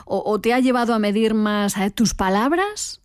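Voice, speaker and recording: A woman conducts a conversational interview in a slightly high voice. The sound is dry, with little room in it. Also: animated, moderate pace, clear, resonant tone, no echo.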